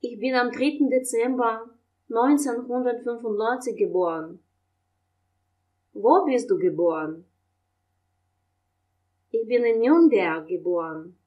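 Woman, language teacher, slow careful delivery, slightly high voice. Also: darkish tone, low-quality recording. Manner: reads German questions and answers slowly and clearly, explains in Russian. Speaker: German teacher. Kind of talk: language lesson, slow model dialogue.

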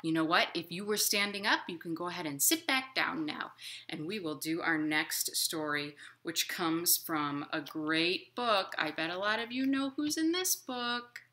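Bright-voiced woman reading slowly to children. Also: fairly low pitch. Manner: expressive, encouraging, simply worded as for small children. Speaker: children's librarian hosting storytime. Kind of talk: storytime read-aloud for young children. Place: living room at home.

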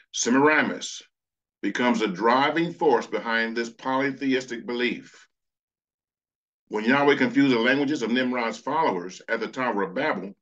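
A man's voice: mid-range voice, fairly bright tone, highs cut off. A man preaching a sermon over slides, earnest, reading scripture passages aloud. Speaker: pastor.

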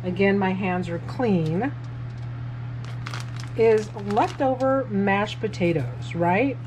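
A woman's voice: mid-range voice, takes it slowly, background noise. A woman casually talking through a recipe step by step as she cooks in her home kitchen, filming on a handheld phone. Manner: chatty, self-narrating each step. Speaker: home cook vlogger. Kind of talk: casual cooking demonstration.

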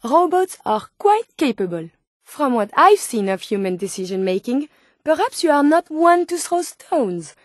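An actress playing a polite, earnest, curious robot scientist with a French accent in a video game, speaking formally and precisely. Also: female voice, high voice, sing-song, measured pace, dry recording, studio quality. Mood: matter-of-fact. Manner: formal, precise, with questioning tags. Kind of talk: video game character dialogue.

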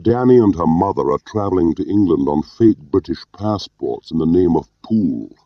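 A man's voice: deep voice, expressive, moderate pace, no room sound. Audiobook villainous boss: Scottish intelligence chief, accusing, pert and pompous, read by a male narrator. Very dry, muffled sound. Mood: stern, insistent.

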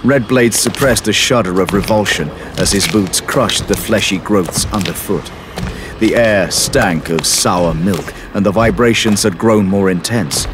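A man's voice: fairly low pitch, unhurried, noisy background. A man giving dramatic scripted narration as the storyteller of an audio drama. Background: music.

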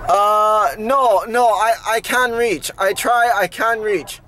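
A simple, earnest foreign man, played by a man in his thirties, speaks slowly in a high voice with clipped, repetitive broken English. He is recorded inside a car with faint noise. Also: pushed and bright, exaggerated voice, rough recording. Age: 30s. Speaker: prank caller, video host. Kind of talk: prank phone call improvisation.

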